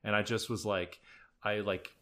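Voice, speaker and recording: A man's voice: lowish voice, fairly even intonation, moderate pace, crowd noise. A young adult man, a musical theatre actor, telling audition stories in a laid-back, self-deprecating, chatty way. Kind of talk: casual storytelling interview.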